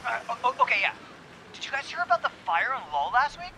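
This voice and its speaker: High-voiced actor as excitable male stoner caller for audio drama; stammering, slangy, clear background noise. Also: fast, full character voice. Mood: eager, rambling. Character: excitable rambling stoner guy phoning a radio show. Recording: noisy call with street ambience behind.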